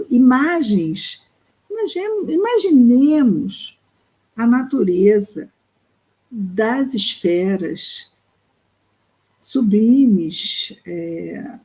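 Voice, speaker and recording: Mellow-voiced woman explaining a text slowly. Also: mid-range voice, low-quality recording. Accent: Brazilian Portuguese. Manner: thoughtful, explains slowly with pauses and fillers. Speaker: study group presenter. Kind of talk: reading and explaining a spiritist book.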